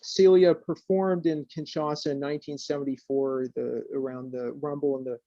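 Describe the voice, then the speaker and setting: A man's voice: on the high side, fairly even intonation, normal speed, mellow, no room sound, lo-fi sound. A man hosting a casual radio interview, hesitant and searching for his words. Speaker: radio show host interviewing.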